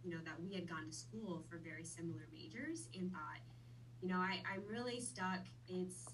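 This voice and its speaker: A woman's voice: on the low side, normal speed, fairly bright tone, recorded from a distance, a little hiss or hum, poor audio. A woman in her twenties, born in Vietnam, chatting easily as a podcast guest with plenty of fillers. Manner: friendly, easygoing, many fillers. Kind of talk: casual interview chat.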